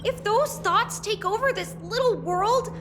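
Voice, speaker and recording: A woman's voice: very high-pitched, unhurried, over music. An actress voicing a bubbly, determined young girl companion in a game, eager and upbeat. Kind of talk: game cutscene voice acting.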